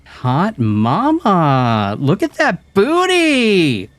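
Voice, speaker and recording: A man voicing a loud, over-the-top teenage girl who hoots and teases. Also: medium pitch, wildly swooping pitch, drawn-out words, no room sound, pitched above the performer's own voice, full character voice. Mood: hooting. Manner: hooting, loud.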